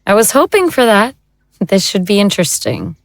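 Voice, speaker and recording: A woman's voice: mid-range voice, wildly swooping pitch, normal speed, no room sound. A woman playing a composed, knowledgeable occult investigator in an audio drama. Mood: calm, intrigued.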